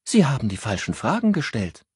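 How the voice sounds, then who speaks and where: A man voicing a guarded circus artiste woman under questioning, calm, evasive and mocking, in an audiobook crime story. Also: medium pitch, fairly quick, slightly rough, no room sound, voice pushed up, heavily acted.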